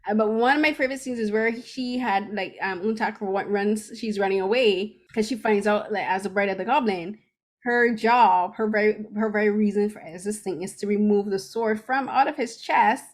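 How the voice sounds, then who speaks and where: A woman from Barbados, hosting a casual podcast chat and talking in an animated, rambling way full of fillers and restarts. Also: medium pitch, moderate pace. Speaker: podcast host. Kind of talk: casual chat about a TV drama.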